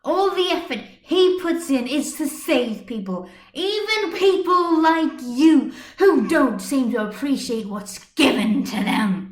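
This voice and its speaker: A woman voicing a furious, protective young female superhero in an amateur story reading, ranting loudly and indignantly into a computer microphone. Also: sits high, expressive, slow, hardly any pauses, reverberant, voice pushed up. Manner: loud, indignant tirade delivery.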